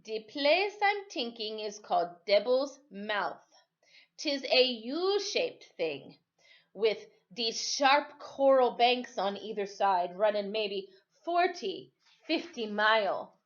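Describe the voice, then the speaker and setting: Lady doing a calm old island sailor guy from a storybook, slow folksy lilting talk, muffled sound. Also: a little high. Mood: grave. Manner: drawn-out, patient, folksy island phrasing. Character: calm, reassuring older island sailor man. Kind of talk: storybook read-aloud.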